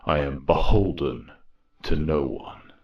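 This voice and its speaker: A man playing a weary, solemn robot war leader in a grave, deliberate audio drama monologue. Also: a bass voice, a little mellow, slightly rough, lo-fi sound, exaggerated voice. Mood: resolute. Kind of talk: reflective dramatic monologue narration.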